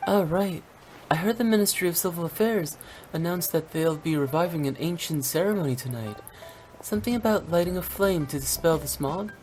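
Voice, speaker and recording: A woman's voice: on the low side, a little mellow, soft background music, close to an everyday voice, poor audio. A woman doing a chatty young opera singer. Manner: quick, chatty and curious. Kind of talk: video game voice acting.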